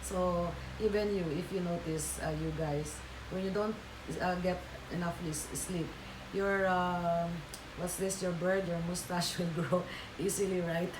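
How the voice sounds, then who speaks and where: Filipina woman, low voice, echoey room. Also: fairly even intonation, unhurried, noisy background. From Philippines. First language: Tagalog. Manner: chatty, reads out viewer comments, many fillers and restarts. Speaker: livestream host. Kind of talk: casual livestream chat with viewers.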